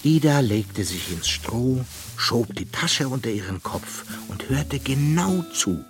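A man's voice: mid-range voice, big pitch swings, slow pace, faint music behind. A man narrating a scripted children's radio drama like a storybook.

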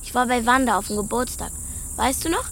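Eager little girl in a kids radio play, highish voice, crickets faint behind. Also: animated, fairly quick, a little hiss or hum. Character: bright, caring young schoolgirl, eager and chatty. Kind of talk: German children's radio play acting.

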